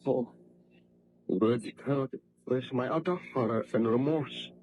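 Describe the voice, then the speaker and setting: An actor playing a desperate, guilt-ridden baron in an old radio drama, with impassioned, formal, theatrical diction. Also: male voice, mid-range voice, unhurried, dull audio, lightly acted. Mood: haunted recounting. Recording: noisy vintage radio drama recording.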